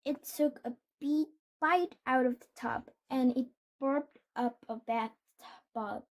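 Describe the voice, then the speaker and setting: A woman reading a children's storybook aloud in a deliberate way, with occasional stumbles. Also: high voice. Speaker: storybook reader.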